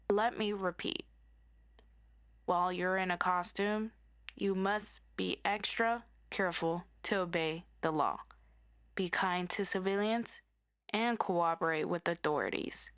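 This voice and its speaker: Woman playing superhero mentor for audio roleplay: friendly, mid-pitched, orderly, instructive orientation delivery. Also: natural voice. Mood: serious.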